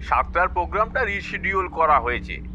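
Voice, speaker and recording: A man's voice: high-pitched, lively intonation, a little fast, bright, forceful tone, exaggerated voice. A man acting an apologetic, deferential programme organiser in an audio drama, placating someone over a phone line. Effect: phone call. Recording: thin phone line, street noise.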